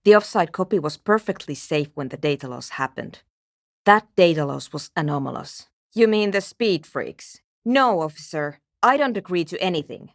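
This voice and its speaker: A woman's voice: on the low side, animated, moderate pace, no room sound, studio quality. A woman playing a guarded, impatient and anxious programmer in a video game, with a terse, precise and matter-of-fact way of talking. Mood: curt, dry. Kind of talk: scripted game character dialogue.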